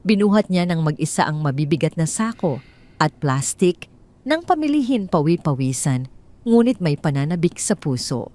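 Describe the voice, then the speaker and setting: A woman with a Filipino accent narrating a scripted dramatic story in a measured, expressive storytelling manner. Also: low voice.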